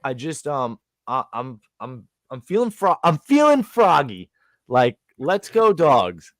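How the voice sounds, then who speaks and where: A man co-hosting a podcast, breaking down fight betting lines in an animated, slangy way. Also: on the high side, takes it slowly, no echo. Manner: animated, slangy, calls people dog. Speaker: podcast co-host, betting analyst. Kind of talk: casual sports betting chat.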